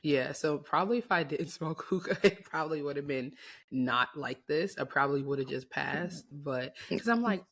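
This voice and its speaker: Woman co-host, deep hoarse voice from a cold, laid-back casual chat. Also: normal speed. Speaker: podcast co-host.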